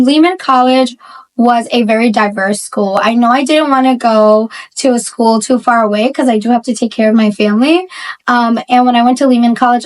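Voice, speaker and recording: Upbeat New woman teacher. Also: a little high. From Bangladesh, raised in the Bronx. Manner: chatty and upbeat, many ums and likes. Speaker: history teacher. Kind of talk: casual school interview.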